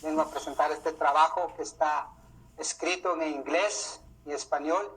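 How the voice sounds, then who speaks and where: Husky-voiced man, halting speech. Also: a little high, steady tone, a bit bright. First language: Mam. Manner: hesitant, many fillers and restarts. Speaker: author presenting his book. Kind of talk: unscripted talk. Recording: muffled radio broadcast sound.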